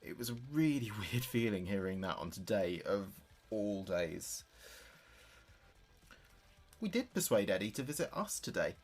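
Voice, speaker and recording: A man's voice: on the low side, big pitch swings, unhurried. An actor playing a grieving adult son in an audio drama, speaking hesitantly and confidingly as if taping messages for his dead father. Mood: fond, bittersweet. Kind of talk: audio drama monologue as a taped diary.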